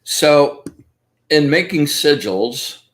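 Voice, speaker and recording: A man's voice: fairly high pitch, expressive, drawn-out words. A man hosting a casual spiritual talk, rambling and enthusiastic, full of fillers and restarts, walking his viewers through his methods step by step. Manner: explains step by step. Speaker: host.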